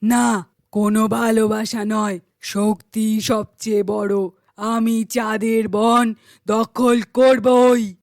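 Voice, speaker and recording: Woman acting a slow, menacing evil sorceress. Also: on the high side, level tone, vocal fry, dry recording, clean studio sound. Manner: menacing, commanding. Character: jealous, power-hungry evil sorceress. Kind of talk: cartoon fairy tale acting.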